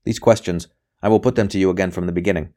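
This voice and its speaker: A man's voice: low-pitched, steady tone, racing, mellow, natural voice. A man voicing a relentless official interrogator in an audiobook, insistent and coolly impatient, pressing with clipped questions. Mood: pressing, stern.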